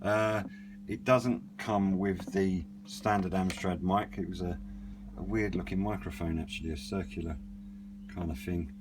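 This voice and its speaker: A man's voice: deep voice, a little slow. A middle-aged British man giving a casual, unscripted product demonstration in a hesitant, understated, meandering way. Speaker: radio hobbyist and reviewer. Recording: clearly noisy with a steady hum.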